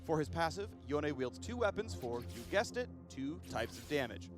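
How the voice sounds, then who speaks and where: A man's voice: fairly high pitch, very animated, normal speed, faint music behind. A man reading scripted promotional game narration with a playful, punning presenter delivery. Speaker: champion spotlight narrator.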